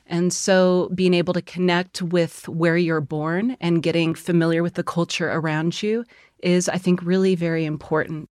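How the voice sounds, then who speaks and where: A woman from Phoenix, Arizona, a service manager, speaking relaxed and conversational in a radio interview. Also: fairly low pitch, normal speed, dry recording, studio quality.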